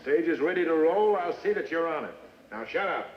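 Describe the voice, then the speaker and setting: An actor playing a firm, gruff frontier sheriff with blunt, folksy Western talk in a radio western drama. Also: male voice, fairly high pitch, animated, fairly quick, lightly acted. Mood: brisk, commanding. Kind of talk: old-time radio western acting. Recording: muffled, noisy, echoey old radio recording.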